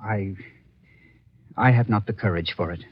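An actor playing a timid, earnest young colonial lover and former soldier in an old radio drama, hesitant and dramatic. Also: male voice, fairly low pitch, a little slow, breathy edge, no room sound. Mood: reluctant, pained. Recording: dull lo-fi old radio, crowd-like noise.

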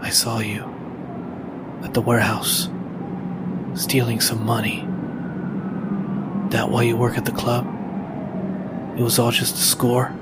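A man playing a brooding, grim masked vigilante in an audio drama, speaking and narrating in a terse, deliberate way. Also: mid-range voice, little pitch movement, very noisy. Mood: stern. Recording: loud music behind the voice.

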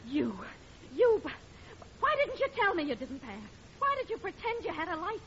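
A woman's voice: very high-pitched, big pitch swings, moderate pace, a bit bright, exaggerated voice. An actress playing a sensible, exasperated wife and mother, scolding emphatically in an old radio sitcom. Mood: indignant. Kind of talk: 1940s-50s radio sitcom acting. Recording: dull, hissy old radio recording, audience.

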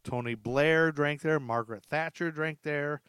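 A man's voice: on the high side, a little slow, a bit bright, smooth, no room sound, clean studio sound. A man hosting a podcast, telling stories casually and comically, chatty and amused, repeating facts for emphasis. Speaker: podcast host.

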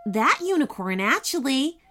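Woman acting as young girl heroine, storybook, earnest, plucky, polite, emphatic, high pitch, lively intonation. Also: unhurried, soft background music, heavily acted. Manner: frank, emphatic.

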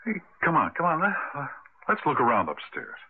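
An actor playing a folksy, reassuring American husband in a radio drama, speaking a little hesitantly. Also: male voice, fairly high pitch, wildly swooping pitch, brisk, over loud music. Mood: resigned, puzzled. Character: reassuring husband stranded in a deserted town. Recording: noisy, lo-fi radio broadcast recording.

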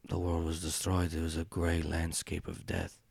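A man voicing an ancient male spider-bodied outcast creature who speaks slowly in a hushed, halting way, often near a whisper. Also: sits low, monotone, gravelly, husky, dry recording, exaggerated voice. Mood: solemn. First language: not English. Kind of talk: in-character tabletop roleplay.

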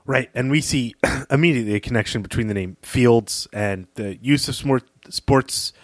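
A man's voice: medium pitch, moderate pace, no room sound. A man co-hosting a podcast, talking through literary analysis in a conversational way. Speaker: podcast host.

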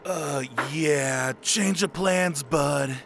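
A man's voice: a little high, drawn-out words, vocal fry, background noise, full character voice. A man playing a condescending office boss with breezy, snide banter. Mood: smug, breezy. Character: smug, condescending male office boss, father of a girl.

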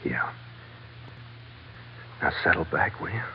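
An actor playing a tough, world-weary American adventurer captain, narrating tersely in vintage radio drama style. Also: male voice, deep voice, lively intonation, slow pace, a little hiss or hum. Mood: subdued, consoling. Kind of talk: 1940s-50s radio adventure acting, hardboiled first-person narration. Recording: dull vintage radio recording with music.

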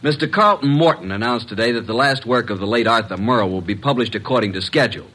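A man's voice: medium pitch, quick pace, bright, forceful tone. An actor playing a brash, loyal detective's legman who talks in plain slang, in classic radio mystery style. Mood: indignant. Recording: old radio drama recording.

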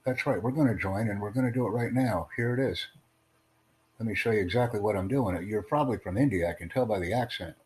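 A man hosting a livestream podcast, addressing callers in a deliberate, instructive way. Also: on the low side, darkish tone. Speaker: podcast host. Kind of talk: livestream chat with online callers. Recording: clearly noisy, humming background.

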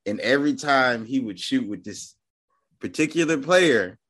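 A man in his thirties tells a story as a guest on a podcast. His pitch swings widely as he talks, with a laid-back but animated delivery. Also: normal speed. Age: 30s. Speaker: guest, host's big brother. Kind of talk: casual podcast chat.

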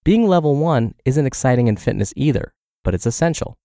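A man's voice: medium pitch, expressive, brisk, dark tone, no room sound, clean studio sound. A man hosting a podcast, reading health and fitness blog posts aloud while congested and scratchy from the flu. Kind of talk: read-aloud narration.

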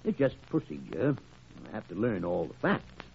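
An actor playing a gruff, stubborn old senior doctor in a 1940s radio drama, blunt and grumbling, with a raspy voice. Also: male voice, on the low side, sing-song, moderate pace, slight background noise. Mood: brisk, bluffing. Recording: tinny, narrow old radio recording.

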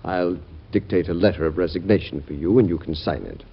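An actor playing a stern, controlling presidential secretary with a formal, clipped manner in an old radio drama. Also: male voice, low voice, brisk, gravelly, slightly rough, heavily acted. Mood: cold, dismissive. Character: officious, cold, controlling presidential secretary, a grown man. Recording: muffled old radio recording.

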